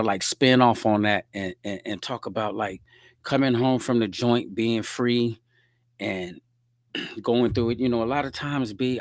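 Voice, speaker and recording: A middle-aged man hosting his podcast alone, hoarse and relaxed, chatting to viewers and answering their comments. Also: fairly low pitch, no room sound, studio quality. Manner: laid-back, talks straight to viewers, reads out comments. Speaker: podcast host. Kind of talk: casual solo livestream chat.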